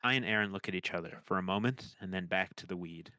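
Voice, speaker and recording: A man's voice: deep voice, fast talker, bright, forceful tone, dry recording, low-quality recording. A man reading screenplay stage directions as scripted narration.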